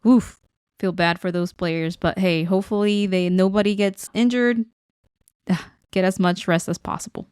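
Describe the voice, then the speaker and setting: A woman's voice: mid-range voice, steady tone, normal speed, darkish tone, smooth, no echo, studio quality. A woman from Guatemala co-hosting a podcast in casual, chatty, informal conversation. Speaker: podcast co-host. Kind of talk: casual unscripted podcast chat.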